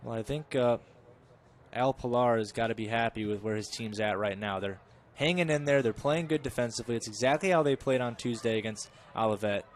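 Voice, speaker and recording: A man giving chatty live play-by-play of a college soccer match, correcting himself and rising in pitch and volume when the play gets dangerous. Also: a little fast, a little hiss or hum. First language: English. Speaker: play-by-play commentator.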